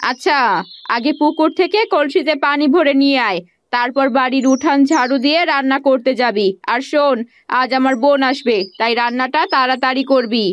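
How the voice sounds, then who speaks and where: Woman playing a bossy, scheming high-voiced sister-in-law. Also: brisk, muffled recording, a little hiss or hum, lightly acted. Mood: bossy scolding. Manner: scolding, commanding. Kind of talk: cartoon fairy-tale acting.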